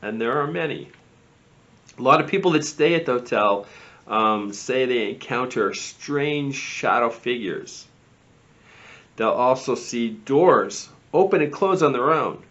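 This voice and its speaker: A man presents eerie lore as a show host. He speaks slowly and theatrically, leaning on words and letting phrase endings drop. The audio is a little dull. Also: mid-range voice, animated, a bit bright. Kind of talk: presenting spooky lore segments.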